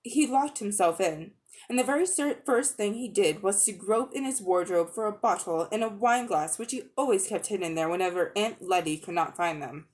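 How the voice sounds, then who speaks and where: A woman reads a story aloud in a mid-range voice. Her narration is expressive and dramatic, in the manner of a children's audiobook. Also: mid-range voice, moderate pace. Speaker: audiobook reader.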